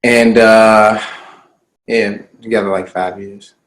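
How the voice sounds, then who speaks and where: A man's voice: lowish voice, level tone, slow, fairly bright tone, reverberant, rough recording. A man in his twenties, laid-back and hesitant. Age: 20s.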